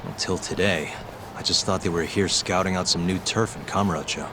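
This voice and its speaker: Male actor as wry detective, deep voice, understated, video game dialogue, urban street background noise. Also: fairly quick, natural voice. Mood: pensive. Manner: understated, dry, casual. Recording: clear city street noise behind.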